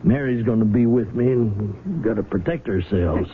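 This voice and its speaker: An actor playing an earnest, worried small-town husband who speaks haltingly and repeats words in a 1970s radio drama. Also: male voice, fairly low pitch, expressive, natural voice. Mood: troubled. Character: earnest, troubled small-town husband, loyal keeper of secrets. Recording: muffled, noisy vintage radio broadcast.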